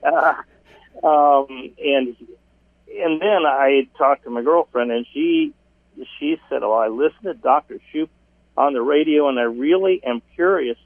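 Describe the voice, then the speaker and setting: A man whose first language is Italian, a guest on a radio show, telling his story in a halting, amused way. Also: fairly high pitch, no room sound. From United States. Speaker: guest, dental patient. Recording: tinny phone-like line.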